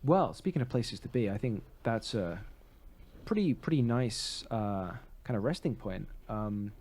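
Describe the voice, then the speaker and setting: A man's voice: lowish voice, animated, measured pace, darkish tone, a little hiss or hum. A man co-hosting a podcast, talking in a casual, conversational way. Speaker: podcast co-host.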